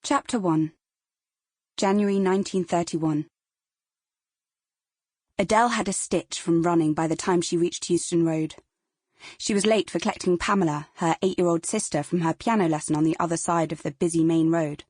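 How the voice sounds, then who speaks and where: A woman's voice: fairly low pitch, fairly even intonation, normal speed, long pauses, a little mellow, no echo, studio quality. A woman with a British accent narrating dramatic fiction as a scripted audiobook.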